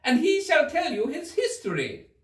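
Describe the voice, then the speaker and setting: Man putting on a shrieking, high-pitched imperious Queen. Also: huge pitch swings, normal speed, roomy sound, far from the mic, heavily acted. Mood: bossy. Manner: shouting, commanding. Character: savage, shouting, imperious Queen, quick to order executions.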